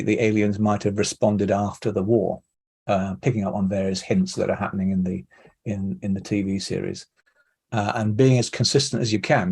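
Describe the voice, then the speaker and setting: Husky, creaky low-voiced man. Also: dark tone. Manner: hesitant, thoughtful, digressive. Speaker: producer being interviewed. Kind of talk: conversational interview.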